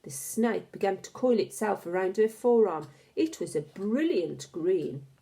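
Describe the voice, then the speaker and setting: A woman reading a children's novel aloud as an expressive, dramatic and deliberate storyteller. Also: mid-range voice, creaky.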